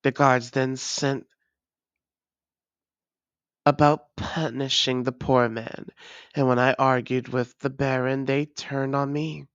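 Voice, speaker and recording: An actor playing a weary, guarded vampire and long-lost lover, speaking in halting, formal phrases in an intimate roleplay audio drama. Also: mid-range voice, level tone, smooth, no room sound, dull audio. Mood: firm, measured. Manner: measured, halting, formal old-fashioned phrasing.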